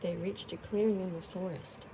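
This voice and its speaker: A woman's voice: lowish voice, steady tone, moderate pace, background noise. A woman storyteller narrating a children's storybook expressively.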